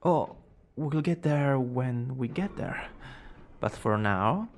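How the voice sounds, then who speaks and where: Guy doing a smug teasing young villain for asmr romance, slow n drawly, bit of gravel in the voice. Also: medium pitch, lively intonation, a little hiss or hum.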